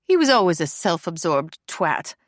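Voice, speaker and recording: A woman's voice: on the low side, sing-song, normal speed, no room sound, studio quality. A woman voicing a flamboyant aristocratic mother, grand and over-the-top. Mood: indignant.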